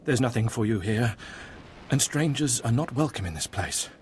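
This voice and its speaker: A man playing a devout, guarded cult mentor in a video game, speaking in a formal, reverent, measured way. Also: medium pitch, expressive, a little hiss or hum, lightly acted. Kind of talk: scripted video game voice acting.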